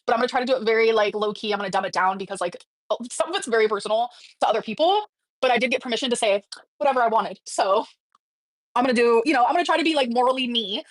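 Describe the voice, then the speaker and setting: Woman, slightly high voice, rapid talk, casual livestream chat, sassy, gossipy, broken-off thoughts. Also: no room sound. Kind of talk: casual livestream chat, answering viewer messages.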